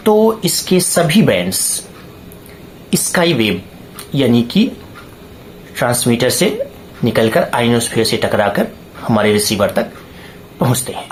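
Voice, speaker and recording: A man presenting a tutorial point by point, in deliberate, halting phrases with stressed key words. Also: mid-range voice, a little hiss or hum. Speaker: presenter of radio hobby tutorials.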